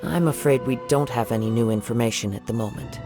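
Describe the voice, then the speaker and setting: A calm young traveler, played by a man asks questions in a steady, polite mid-range voice. Game music plays clearly behind him. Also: medium pitch, fast talker, lightly acted. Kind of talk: game voice acting.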